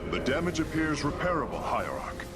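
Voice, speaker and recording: An actor playing an earnest, melancholy alien engineer in a video game, speaking formally and carefully, with deference. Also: male voice, on the high side, very animated, normal speed. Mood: dutiful, earnest. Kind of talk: video game cinematic acting. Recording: loud music over game cinematic.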